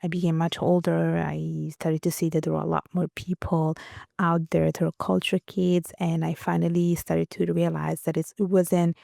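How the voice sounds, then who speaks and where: A woman's voice: low voice, flat delivery, normal speed, gravelly, dark tone, no echo, studio quality. A young adult woman from Italy, a guest author speaking reflectively in an interview, with many fillers and restarts. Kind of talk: conversational interview.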